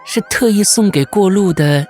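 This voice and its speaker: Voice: medium pitch, expressive, normal speed, mellow, soft background music, pitched above the performer's own voice, lightly acted. A storyteller voicing a sly demon posing as a sweet young woman, polite and coaxing, in an audio picture-book drama.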